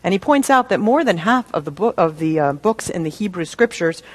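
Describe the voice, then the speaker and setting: A woman minister delivering a sermon in a measured, deliberate way, using rhetorical questions and repetition for emphasis. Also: fairly low pitch, animated. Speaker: minister preaching.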